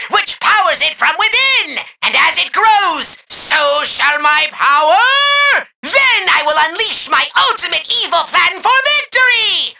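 A man voicing a small, megalomaniacal evil overlord in a video game, shrill and gravelly, bombastic, mocking and childishly petulant. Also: sharp tone, a little hiss or hum, heavily acted. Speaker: voice actor. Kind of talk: theatrical cartoon villain game dialogue.

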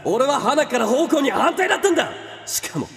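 An actor in a radio drama playing a coarse, hot-tempered older brother who is fiercely protective. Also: male voice, extremely high pitch, sing-song, a little fast, a bit bright, no room sound, full character voice. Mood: furious. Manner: coarse, blunt rough speech. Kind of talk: Japanese radio drama acting.

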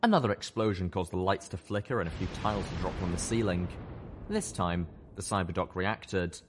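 A man's voice: deep voice, brisk, over music. A man reading a scripted story as a dramatic audiobook narrator.

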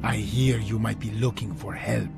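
Tough male mercenary, gravelly, terse, brooding, video game cutscene with clear music underneath. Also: fairly low pitch, steady tone, moderate pace. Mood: cool, businesslike. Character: tough, cocky male gun-for-hire action hero. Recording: music behind.

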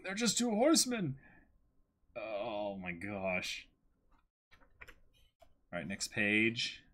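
A man's voice: lowish voice, wildly swooping pitch, very slow, fairly bright tone. A man in his twenties giving a casual, amazed running reaction as he reads a story aloud on a stream. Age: 20s. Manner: exclaims in amazement, acts out dialogue. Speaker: streamer reading a manga aloud. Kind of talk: casual reaction commentary with dramatic reading.

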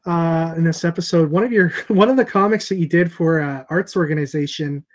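Higher-voiced man chatting casually. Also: a little fast. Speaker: show co-host at a comic shop. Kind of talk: casual video show chat. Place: small room at home, indoors. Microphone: wired earphones on a video call.

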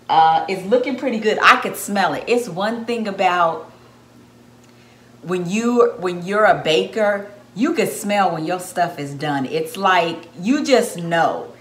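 A woman in her thirties talks through a recipe in a relaxed, chatty way, her voice a little low for a woman. Her home kitchen echoes around her and the camera sits back on the counter. A faint room hum runs under her voice. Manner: chatty, explains steps to viewers. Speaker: home baker, channel host. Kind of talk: casual cooking demonstration. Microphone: camera on the counter, at arm's length.

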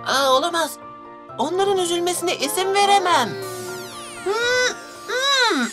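A shy young magician boy from a cartoon, with a very high, boyish voice. He talks earnestly, and loud music plays behind him. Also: lively intonation, unhurried. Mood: worried. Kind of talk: cartoon dub.

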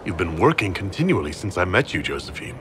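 Calm low-voiced fantasy hero. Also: male voice, slight background noise. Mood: gentle concern. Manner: measured, gentle, supportive. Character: calm, reassuring young-to-middle-aged male fantasy leader. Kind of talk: video game dialogue acting.

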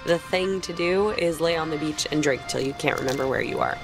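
A woman with an American accent playing a caustic, domineering teenage mean girl in a video game, sarcastic, deadpan and cutting. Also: sits low, brisk, gravelly, over music. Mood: sardonic, deadpan. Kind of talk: naturalistic video game acting.

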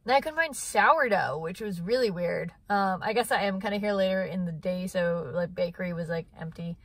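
A woman's voice: lowish voice, moderate pace. Chatty woman vlogging from her car. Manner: chatty, rambling with lots of fillers. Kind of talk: casual vlog chat. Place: parked car. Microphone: phone camera at arm's length.

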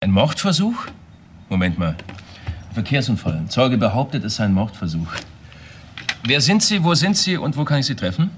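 An actor as an animated, brisk policeman. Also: medium pitch, close to an everyday voice. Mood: brisk, alert. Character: brisk, businesslike male police officer. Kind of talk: German radio crime drama acting.

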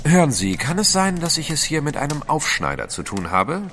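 An actor in a German audio drama playing a cool, sceptical gentleman adventurer with a formal, haughty manner. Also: fairly high pitch, big pitch swings, moderate pace, a little hiss or hum. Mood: probing.

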